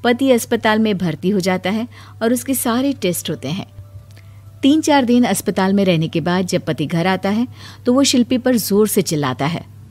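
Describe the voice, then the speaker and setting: A woman's voice: medium pitch, expressive, normal speed, darkish tone, faint music behind. A woman reading scripted narration as the storyteller of a moral tale.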